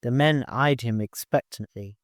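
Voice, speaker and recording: A man's voice: medium pitch, moderate pace, no echo, studio quality. A man reading scripted literary narration as an audiobook narrator.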